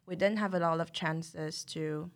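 A young woman from Taiwan, a native Mandarin speaker, talking as a podcast guest in a thoughtful, measured way. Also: low-pitched, steady tone. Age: young adult. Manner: thoughtful, measured, uses "like" as filler. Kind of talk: casual chat.

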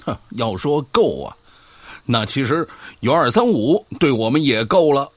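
A man's voice: mid-range voice, sing-song, a little slow, no room sound. An actor playing an argumentative, pedantic man who scoffs and lectures his old friend in a sci-fi audio drama. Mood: teasing, contrary.

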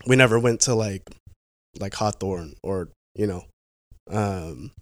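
Halting, low-voiced young man, dry sound. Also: no room sound. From Pacific Northwest, United States. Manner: halting, with frequent restarts and fillers. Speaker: guest. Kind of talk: casual conversational interview.

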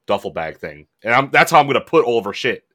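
A man's voice: medium pitch, very animated, quick pace, a bit bright. A young man co-hosting a podcast, bantering in a joking, laid-back way. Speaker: podcast co-host. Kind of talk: casual chat.

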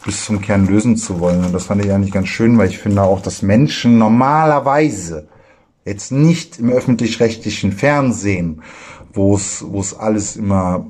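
A man's voice: fairly low pitch, moderate pace, a little mellow. A man co-hosting a podcast, rambling casually and leaning on filler words. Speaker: podcast co-host.

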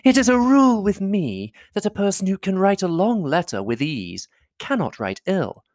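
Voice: medium pitch, very animated, normal speed, a little mellow, no room sound, pitched above the performer's own voice. A reader voicing a fawning young society lady in a classic novel audiobook, effusive and coquettish.

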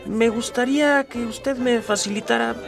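A man's voice: very high voice, normal speed, gravelly, distant mic, over music. An actor with a Mexican accent playing a humble everyman hero in a radio drama, talking colloquially and a bit hesitantly. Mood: polite, hesitant. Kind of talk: scripted radio drama acting.

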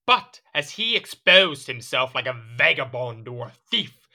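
Jealous, petulant monarch in audio drama: indignant, theatrical, very forceful tone, swooping intonation. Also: male voice, medium pitch, big pitch swings, measured pace, heavily acted. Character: petulant, jealous, suspicious French king. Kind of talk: audio drama period acting.

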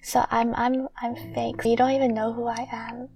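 A soft-spoken young woman whose first language is Chinese, co-hosting a casual podcast chat and laughing often. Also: fairly high pitch, level tone, normal speed, vocal fry, slight background noise. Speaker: podcast co-host.

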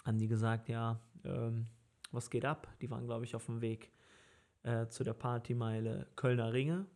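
A relaxed man in his thirties chats on a podcast about his early working life. He talks loosely, with plenty of filler words, and laughs easily. His voice sits at an ordinary middle pitch. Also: moderate pace. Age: 30s. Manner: easygoing, laughs often, many fillers. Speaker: party organizer. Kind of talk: casual podcast chat.

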